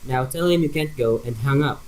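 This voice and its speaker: A man's voice: mid-range voice, fairly quick, lightly acted, rough recording. A man playing a grumpy, impatient middle-aged father with a stern, curt manner in a radio play. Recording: faint background noise.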